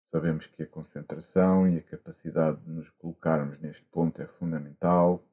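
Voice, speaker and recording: A man with a European Portuguese accent, a monk guiding a meditation gently and haltingly, with long pauses, over a video call. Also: very deep voice, steady tone, slightly rough. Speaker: Buddhist monk, meditation teacher. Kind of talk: guided meditation instruction. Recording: muffled, dull online call audio.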